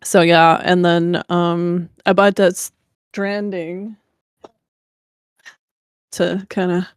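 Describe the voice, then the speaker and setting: A woman's voice: fairly low pitch, flat delivery, very slow, smooth, dry recording, studio quality. A woman co-hosting a podcast, chatting casually and informally about games. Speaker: podcast co-host. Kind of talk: casual gaming chat.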